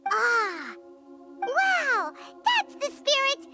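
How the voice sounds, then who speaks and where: A woman voicing a tiny, excitable, loyal fairy companion in a video game, with a squeaky childlike voice and an exclamatory delivery. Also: extremely slow, loud music behind the voice, full character voice. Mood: excited. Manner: exclamatory, refers to itself in the third person.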